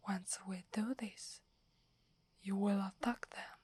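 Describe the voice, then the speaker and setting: A woman with an Italian accent playing a submissive, earnest female werewolf in a soft, breathy, hesitant ASMR whisper. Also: medium pitch, fairly even intonation. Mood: respectful, tentative. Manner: hesitant, respectful, measured.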